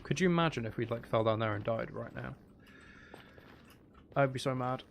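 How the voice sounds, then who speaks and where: A man's voice: mid-range voice, moderate pace, gravelly, muffled recording, a little hiss or hum. A man giving casual gameplay commentary, muttering and thinking aloud to himself. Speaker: gaming video host.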